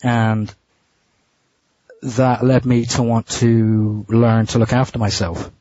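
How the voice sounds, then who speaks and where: A man being interviewed, telling a story in a casual, halting way with frequent restarts and repeated words. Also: medium pitch, little pitch movement, warm tone, dry recording. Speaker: interview guest. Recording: muffled dry lo-fi line.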